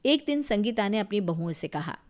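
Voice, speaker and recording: A woman's voice: mid-range voice, animated, brisk, a little mellow. A woman narrating a scripted moral story as its storyteller.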